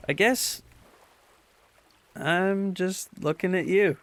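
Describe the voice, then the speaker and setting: A man's voice: high-pitched, slow, fairly bright tone, exaggerated voice. A young man playing Adam, a cocky but affectionate teenage boy in an audio drama, bantering playfully like a know-it-all. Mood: tender.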